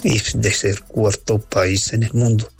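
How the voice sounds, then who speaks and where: Deep, even-toned Colombian man. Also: unhurried, gravelly, husky, soft background music. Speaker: radio listener sending a song request. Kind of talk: casual greeting and request.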